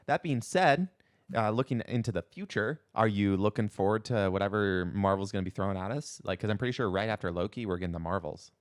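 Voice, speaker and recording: A man with a fairly low voice chats casually as a podcast co-host. His phrasing is loose and informal, full of "like", and the sound is very dry with no room echo. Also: lively intonation, quick pace. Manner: informal, peppers speech with "like". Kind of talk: casual chat.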